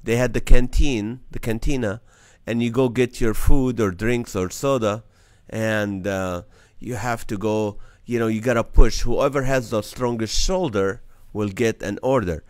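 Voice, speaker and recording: Chatty comedian man with a smooth voice. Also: medium pitch, unhurried, dry recording. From Middle East. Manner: chatty, laughs between jokes. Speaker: comedian host. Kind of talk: casual live-stream comedy chat.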